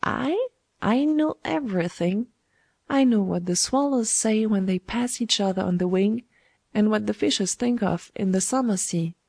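A woman's voice: mid-range voice, unhurried, creaky, darkish tone, dry recording. A woman voicing a sly, domineering old woman schemer in a storybook, with a taunting, wheedling manner. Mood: imperious, coaxing. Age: older.

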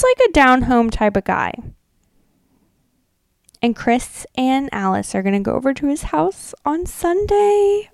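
Female podcast co-host, American, smooth and clear, informal slangy storytelling, dry recording. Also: sits high, a little mellow, dry recording. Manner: informal, peppers talk with "like" and emphatic slang. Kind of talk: casual chatty retelling and commentary.

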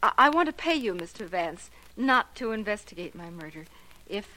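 A woman's voice: mid-range voice, sing-song, moderate pace, sharp tone, poor audio. An actress playing a plain, resigned woman of thirty-four in a 1940s radio drama, confiding in hesitant, stammering phrases. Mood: resigned, calm.

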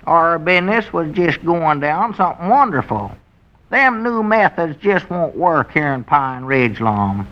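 High-voiced old country storekeeper, rural Southern. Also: male voice, big pitch swings, measured pace. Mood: determined, grumbling. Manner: homespun dialect, fussy. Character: folksy old country storekeeper, excitable, plainspoken man. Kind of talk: 1940s radio comedy acting. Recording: dull vintage 1940s radio recording.

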